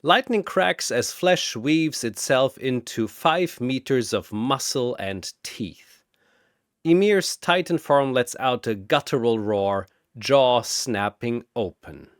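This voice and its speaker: A man's voice: medium pitch, animated, slow pace, no echo, clean studio sound. A man narrating a scripted fantasy story in a dramatic, portentous, theatrical manner.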